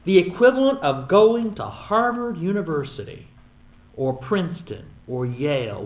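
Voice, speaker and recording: A man teaching a Bible study in a lively, emphatic way with wry asides. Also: a little high. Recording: echoey room over a tinny phone-like line.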